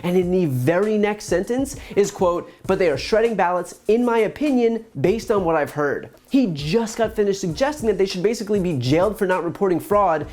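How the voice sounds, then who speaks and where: A man's voice: high-pitched, lively intonation, a little fast, hardly any pauses, a little mellow. A man in his thirties with an American accent, giving scripted political commentary to camera in an emphatic way with sarcastic asides, on a lapel mic. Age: 30s.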